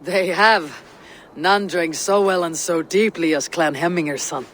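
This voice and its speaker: An actor playing a commanding, loyal Viking warrior in a video game, speaking in a measured, formal, encouraging way. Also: male voice, fairly high pitch, fairly bright tone, big-room sound. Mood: commanding, calm. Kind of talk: dramatic video game acting.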